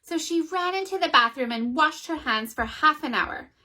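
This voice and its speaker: A bright, animated woman reading a story. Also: high voice, normal speed. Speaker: children's story reader. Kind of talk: storytime read-aloud.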